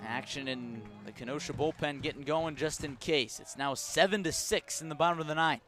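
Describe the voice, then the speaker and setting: High-pitched man calling baseball. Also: brisk. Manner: clipped, descriptive phrases, rising to excited calls on action. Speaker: play-by-play sports announcer. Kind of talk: live baseball radio play-by-play commentary. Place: outdoors at a ballpark.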